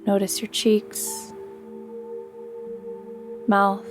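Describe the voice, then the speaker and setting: Very slow, even-toned woman guiding meditation. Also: medium pitch, music in the background. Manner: gentle, soothing, unhurried instruction with long pauses. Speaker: meditation guide. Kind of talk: guided sleep meditation, scripted narration.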